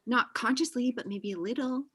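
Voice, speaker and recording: A woman's voice: fairly high pitch, normal speed, a bit bright, slightly rough. A young woman acting a blunt, defensive friend in a table read over a video call. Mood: hurt. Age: young adult.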